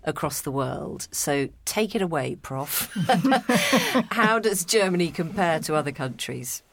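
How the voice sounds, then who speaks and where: A woman hosting a panel discussion, introducing guests and asking questions in a brisk, friendly manner. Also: sits low, expressive, no room sound. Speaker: host.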